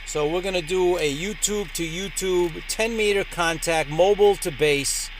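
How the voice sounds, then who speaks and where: A man's voice: high-pitched, moderate pace, slight background noise, poor audio. A man hosting a ham radio channel in an upbeat, emphatic announcer-like way. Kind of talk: upbeat vlog presenting.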